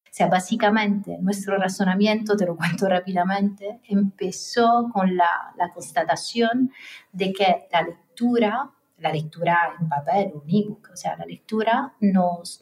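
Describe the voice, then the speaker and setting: A woman's voice: medium pitch, darkish tone. A woman host posing a long, conversational question, with fillers and small restarts. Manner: conversational, explains at length with fillers. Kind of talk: podcast interview.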